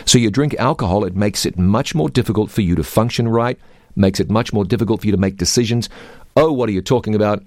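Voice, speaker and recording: A man's voice: lowish voice, fast talker, darkish tone, no room sound, studio quality. A man hosting a Bible question-and-answer radio show, talking earnestly and reading scripture aloud in a preacherly way.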